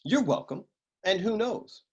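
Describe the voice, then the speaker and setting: Man playing husky hardboiled private eye, swooping delivery. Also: sits high, measured pace, highs cut off, exaggerated voice. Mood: smooth, reassuring. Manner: deadpan, slangy tough-guy delivery. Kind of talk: humorous noir radio serial acting.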